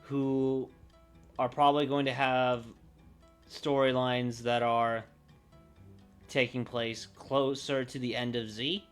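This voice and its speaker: A man livestreaming casually, thinking aloud in a halting, unscripted way as he works through ideas. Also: mid-range voice, flat delivery, clear, resonant tone, soft background music. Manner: halting, pausing to think and correct himself. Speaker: livestreamer brainstorming a roleplaying campaign.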